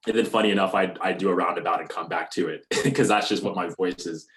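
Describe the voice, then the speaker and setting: A young man from North Alabama with a deep, bassy voice, chatting casually as a musician guest with plenty of "you know" and "um". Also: quick pace. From North Alabama, USA. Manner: relaxed, many fillers like "you know" and "um". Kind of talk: casual interview talk.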